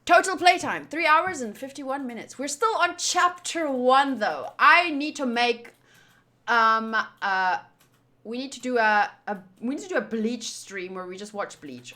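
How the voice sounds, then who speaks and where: A woman with a high, very bright voice hosts a game stream. She talks casually to her chat, animated and playful, and likes to tease. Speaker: streamer hosting a game playthrough. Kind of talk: casual livestream chat to viewers.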